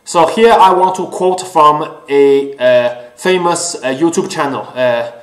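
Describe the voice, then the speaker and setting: A man whose first language is Chinese hosts his own talk show, speaking earnestly with many pauses and fillers. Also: on the high side. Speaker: host. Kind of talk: casual solo talk show. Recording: echoey room.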